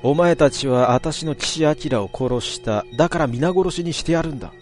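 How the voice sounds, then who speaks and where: Figure: a man voicing a sneering possessed young woman. Pitch: raised. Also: moderate pace, darkish tone, faint music behind, lightly acted. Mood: furious. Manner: sneering, menacing. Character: villainous possessed young woman, mocking and vengeful.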